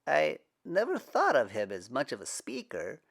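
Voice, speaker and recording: A man voicing a thoughtful young man who speaks quietly and reflectively in a storybook reading. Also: mid-range voice, huge pitch swings, moderate pace, creaky, voice pushed up, exaggerated voice.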